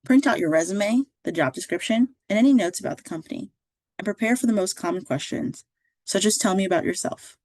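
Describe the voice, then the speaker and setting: A woman reading a scripted instructional narration as a career services presenter, in a clear, measured, instructive way. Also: mid-range voice, a little mellow, no echo.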